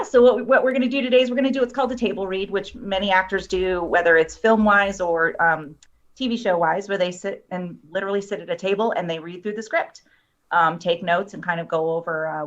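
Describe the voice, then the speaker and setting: A woman's voice: fairly low pitch, a bit bright, muffled recording. A woman guest explaining things in casual chat, as a screenwriter would on an online show.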